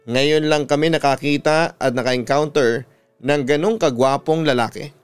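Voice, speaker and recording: A Filipino man narrating a listener's letter in the first person like a radio storyteller, in measured, deliberate phrases. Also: on the high side, clear, resonant tone. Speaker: radio announcer and storytelling host. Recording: music playing behind the voice. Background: instrumental music.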